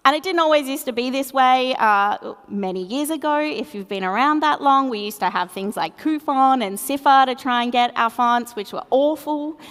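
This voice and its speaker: A woman's voice: sits high, moderate pace, few pauses, fairly bright tone, smooth, big-room sound. A woman with an Australian accent giving a conference talk, chatty and self-deprecating, with plenty of fillers. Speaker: conference speaker. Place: large conference hall.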